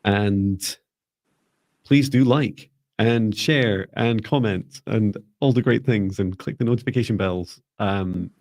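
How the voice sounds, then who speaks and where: A man's voice: lowish voice, takes it slowly, a little mellow, no echo, studio quality. A middle-aged man hosting a live stream in casual, jokey, chatty conversation.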